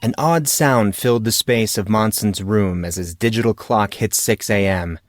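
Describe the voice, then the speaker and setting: A man's voice: fairly low pitch, normal speed. A man narrating a story as an audiobook, reading scripted prose.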